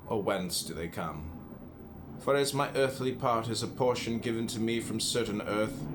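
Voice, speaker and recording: Low-pitched man reading philosophy aloud. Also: background noise. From United States. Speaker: audiobook reader.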